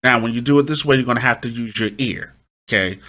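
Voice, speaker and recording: A man's voice: medium pitch, fast talker, loud music behind the voice. A man hosting an informal step-by-step tutorial, instructing and often checking in with short tag questions. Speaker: host of a music production tutorial.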